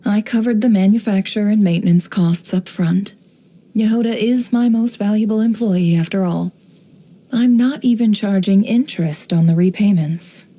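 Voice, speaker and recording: An actress playing a shrewd, worldly woman who runs an intelligence business in a video game, speaking in a composed, wry, measured way. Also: medium pitch, mellow, lightly acted. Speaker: video game character voice actor. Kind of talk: scripted game character voice lines, monologue.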